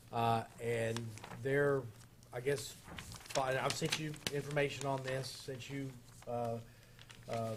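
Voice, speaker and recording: A man's voice: medium pitch, noisy background. A man with a sore throat and a slightly froggy voice, explaining a contract as attorney at a public meeting, carefully and with many fillers. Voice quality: a little froggy. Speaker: town attorney.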